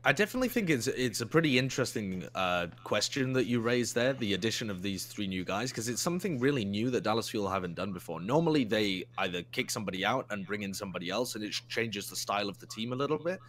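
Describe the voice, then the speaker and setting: A young man co-hosting an esports chat, laying out measured, reasoned analysis with some dry humor. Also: lowish voice, lively intonation, a bit bright. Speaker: co-host analyst.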